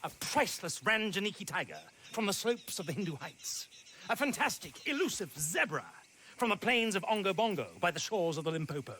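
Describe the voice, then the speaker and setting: High-pitched, flamboyant British showman. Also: very animated, fairly quick, pushed and bright, a little hiss or hum, heavily acted. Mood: grand, pleading. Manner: theatrical, grandiloquent, melodramatic. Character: flamboyant, hard-up stage animal-tamer showman who performs in drag. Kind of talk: video game cutscene acting.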